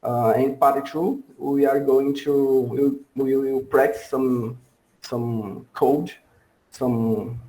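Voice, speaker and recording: A man from Brazil with a Brazilian accent giving a workshop lecture over a video call, halting and full of fillers and restarts. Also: mid-range voice, steady tone, mellow, rough recording. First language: Portuguese.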